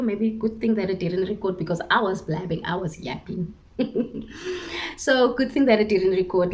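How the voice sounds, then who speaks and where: A woman's voice: medium pitch, darkish tone, a little hiss or hum. A talkative young woman in her twenties from Cape Town, with a Cape Town South African accent and Afrikaans as her first language. She chats casually to the camera at home, in a self-mocking way, laughing often and sometimes slipping into a whisper. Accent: Cape Town South African English. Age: 20s. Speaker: vlogger, primary school teacher.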